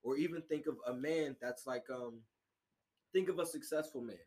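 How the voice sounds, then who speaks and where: A man's voice: medium pitch, normal speed, a crowd in the background. A man co-hosting a casual podcast about relationships, talking in a laid-back way and often saying "you know what I'm saying". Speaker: podcast co-host.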